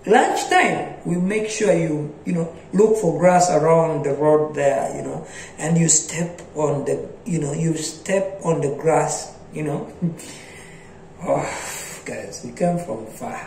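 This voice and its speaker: Young male vlogger, slow comic storyteller, laughs a lot, phone mic, roomy echo. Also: a little mellow, slight background noise. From Africa. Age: young adult. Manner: laughing often, jumps into high put-on voices for quoted people. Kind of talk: casual comic storytelling to camera. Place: small bright indoor room. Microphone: phone held close at arm's length. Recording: echoey small room.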